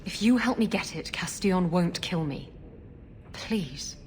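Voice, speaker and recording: A woman's voice: lowish voice, animated, a little slow, a little hiss or hum. A woman playing a brash, evasive female pirate rogue in a video game, with a glib, wheedling, flippant manner. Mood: urgent, pleading.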